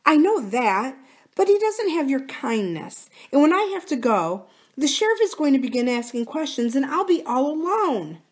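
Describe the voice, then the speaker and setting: Woman acting soft, pleading, manipulative young woman. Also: sits high, sing-song, normal speed, pitched above the performer's own voice. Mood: plaintive. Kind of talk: audiobook character dialogue.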